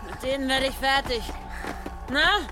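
A woman's voice: sits high, unhurried. A woman playing a steadfast village headwoman in a radio drama, terse and unafraid, with music behind. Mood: guarded, firm. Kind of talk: German radio drama acting.

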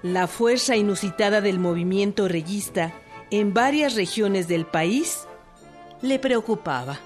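A woman's voice: medium pitch, animated, a little slow. A woman with a Mexican accent giving scripted historical narration on a radio drama. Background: music.

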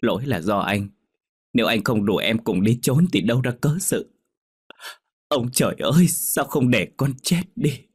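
A man's voice: medium pitch, animated, moderate pace, breathy edge, voice pushed up. A man voicing a heartbroken young man who cries out in a strained, raised voice. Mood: anguished.

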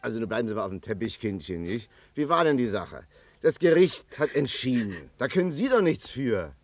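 Plain-spoken barber, chatty, familiar, lively intonation, 1950s German radio drama. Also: male voice, medium pitch, fairly quick. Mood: sceptical. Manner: colloquial, familiar. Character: chatty, plain-spoken male barber, good-hearted storyteller.

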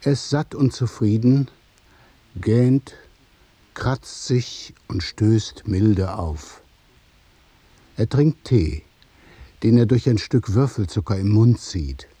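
A man narrating a literary radio play in measured, observational short phrases. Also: fairly low pitch, lively intonation, dark tone, slightly rough, dry recording, heavily acted.

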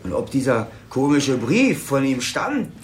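A man's voice: medium pitch, big pitch swings, unhurried, a little hiss or hum. An actor playing a sceptical, easygoing policeman sidekick in a radio drama, teasing with dry humour. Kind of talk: German radio crime drama acting.

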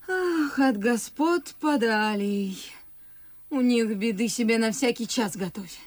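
A woman playing a pert, anxious, sharp-tongued young maid in a classic verse radio play, with an exclamatory manner. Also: a little high, full character voice. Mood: exasperated. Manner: animated, exclamatory.

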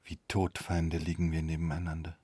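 An actor playing a terse, bitter doctor and husband in a radio play, with a curt delivery. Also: male voice, a bass voice, completely flat delivery, a little fast, dark tone, husky, no room sound, studio quality. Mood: cold, vengeful.

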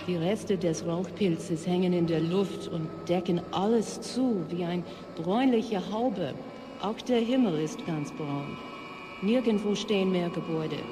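A woman's voice: medium pitch, lightly acted. An actress playing a shaken woman reporter giving an eyewitness account in a radio drama. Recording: long reverb, noisy background.

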